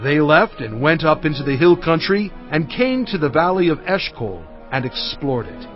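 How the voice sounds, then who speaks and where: A prophet-leader addressing his people in a scripture drama. His rather high voice swings expressively, emphatic and solemn as he recounts and admonishes. The sound is a little muffled, with music underneath. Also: very animated, normal speed. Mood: impassioned, admonishing. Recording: dull audio with music underneath.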